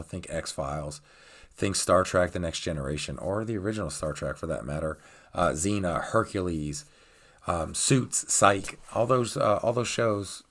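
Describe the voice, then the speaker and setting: A very deep-voiced middle-aged man, still raspy as he gets over a cold. He hosts his own channel and rants casually, rambling and filling pauses with ums and uhs. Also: a bit bright. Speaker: channel host. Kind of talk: casual vlog rant.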